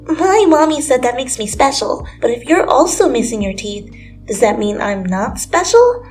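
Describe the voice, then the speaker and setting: A woman voicing an excitable, chatty, insistent second-grade girl in a dramatic story reading. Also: sits high, normal speed, voice pushed up. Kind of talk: dramatic fan fiction reading. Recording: music under the voice.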